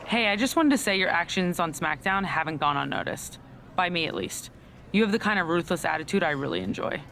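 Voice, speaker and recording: A woman's voice: lowish voice, big pitch swings, fairly quick, gravelly, fairly bright tone, a little hiss or hum, heavily acted. An actress playing a scheming, self-serving female wrestler in a video game cutscene, talking in a casual, conspiratorial way. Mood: sly, persuasive.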